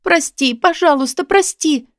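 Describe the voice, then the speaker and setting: A woman's voice: very high voice, measured pace, a bit bright, no echo, studio quality, voice pushed up, full character voice. A woman voicing a terrified young woman in an audiobook drama, crying and making frantic, desperate pleas. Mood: tearful begging.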